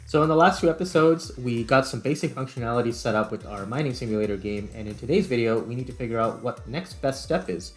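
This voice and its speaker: A man's voice: medium pitch, fast, hardly any pauses. Man chatting casually, faint music behind. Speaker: video creator and host. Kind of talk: casual game development tutorial chat.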